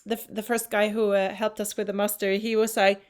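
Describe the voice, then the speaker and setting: A woman musician from Norway, whose first language is Norwegian, answering interview questions in a relaxed way, often stopping to hunt for words. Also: mid-range voice, fairly quick. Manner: searches for words, many restarts and fillers. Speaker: folk musician.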